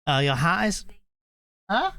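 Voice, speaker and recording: A man live streaming a video game, chatting casually and reading the on-screen text aloud in a halting way, often correcting himself. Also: high voice, sharp tone, dry recording, studio quality.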